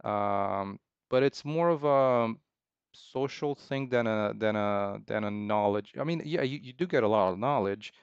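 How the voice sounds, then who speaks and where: Man, smooth clear voice, hesitant rambling delivery, casual unscripted chat, close dry sound. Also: fairly low pitch, no echo, lo-fi sound. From Romania. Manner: hesitant, rambling, halting with repeated phrases. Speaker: game developer streaming. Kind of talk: casual unscripted chat, answering viewers' questions.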